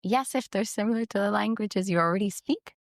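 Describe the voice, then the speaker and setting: A woman's voice: medium pitch, no echo, clean studio sound. A young woman from Montreal, whose first language is Italian, talking in a chatty, upbeat way as an interview guest. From Montreal, Canada. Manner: chatty and upbeat, quick laughs. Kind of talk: casual interview conversation. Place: recorded in a workspace studio in London.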